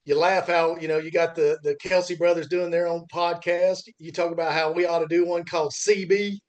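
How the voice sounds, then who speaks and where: A man's voice: a little high, level tone, brisk. A middle-aged man chatting casually as a podcast host, rambling and often circling back over his phrases. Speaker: podcast host, freight industry veteran.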